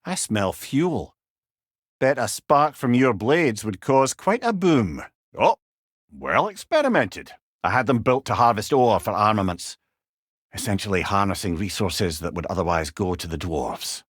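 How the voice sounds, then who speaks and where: A man's voice: on the low side, sing-song, measured pace, husky, dry recording, clean studio sound, full character voice. A man voicing a wise, witty old Scottish advisor in a video game, with a wry, eloquent and rueful manner. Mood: grave, rueful. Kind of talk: video game character dialogue.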